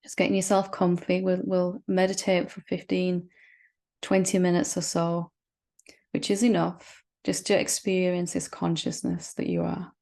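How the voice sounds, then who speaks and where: A woman leading a guided meditation as a spiritual teacher, speaking gently and reassuringly with long, unhurried pauses. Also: fairly low pitch, little pitch movement.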